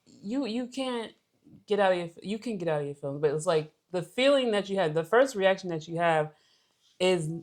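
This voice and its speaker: A woman's voice: lowish voice, animated, moderate pace, darkish tone. A woman co-hosting a relationship podcast, explaining things casually and conversationally with everyday examples.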